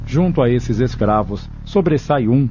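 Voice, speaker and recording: A man's voice: medium pitch, expressive, measured pace, dark tone, a little hiss or hum. A man giving scripted, dramatic narration for an audio novel. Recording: dull, lo-fi recording with music.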